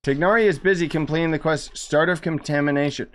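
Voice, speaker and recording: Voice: medium pitch, fast. A young adult gaming streamer giving casual, slangy playthrough commentary, sarcastic and exasperated.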